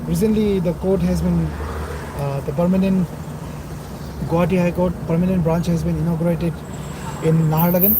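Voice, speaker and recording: A young man with a husky, dry voice gives rambling, self-correcting commentary while driving through a city at night. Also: high-pitched, fairly even intonation, normal speed, long pauses. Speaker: vlogger. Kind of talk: casual narrated drive vlog. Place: in a car on a city road at night. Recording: loud road and car noise. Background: traffic and engine noise.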